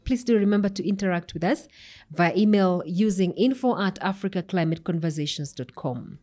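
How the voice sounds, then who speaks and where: A woman hosting a podcast, presenting and interviewing in a clear-worded, structured way. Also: on the low side, lively intonation, fairly quick, a little mellow. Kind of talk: scripted podcast introduction and interview.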